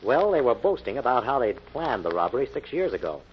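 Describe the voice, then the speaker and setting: Male detective played by an actor, 1940s radio mystery: calm, assured, articulate. Dull old recording, faint noise. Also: medium pitch, fairly quick, close to an everyday voice. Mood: assured, explaining. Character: Jim, calm confident male detective explaining a foiled crime.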